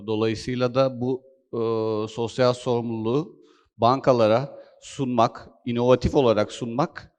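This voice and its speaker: Smooth-voiced man, hesitant conference speaker. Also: mid-range voice, fairly even intonation, normal speed, darkish tone. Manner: hesitant, many fillers. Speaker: presenter, consultant. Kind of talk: conference presentation. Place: conference hall. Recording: long hall reverb.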